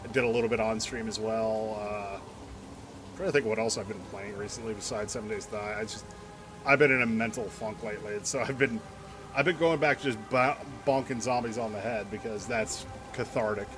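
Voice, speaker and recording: A man's voice: lowish voice, fairly even intonation, fairly quick. Chatty man streaming, faint noise behind. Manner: rambling, informal, chatty. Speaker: game streamer. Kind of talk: casual live stream chat and reactions.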